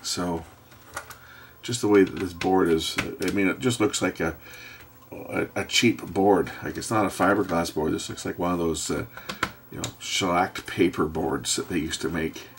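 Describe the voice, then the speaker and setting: A man giving casual hands-on repair commentary, thinking aloud and hesitating as he works, with a gravelly voice. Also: low voice, normal speed. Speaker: host repairing electronics. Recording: background noise with handling clicks.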